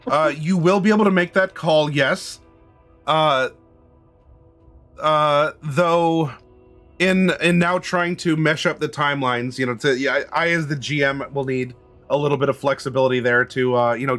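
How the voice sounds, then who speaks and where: A man with a squeaky voice narrating a tabletop game as game master, halting and often clearing his throat. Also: steady tone, a bit bright, faint music behind.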